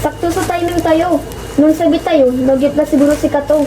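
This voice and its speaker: A woman's voice: high voice, steady tone, normal speed, warm tone, lightly acted, rough recording. A young woman with a Pangasinan accent playing a bold leader of a group of friends in a student radio drama. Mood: tense. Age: young adult.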